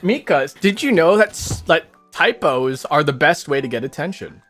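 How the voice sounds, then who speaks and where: A man hosting a casual livestream chat, speaking softly and often trailing off mid-thought. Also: on the high side, lively intonation, normal speed, soft background music. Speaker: streamer host.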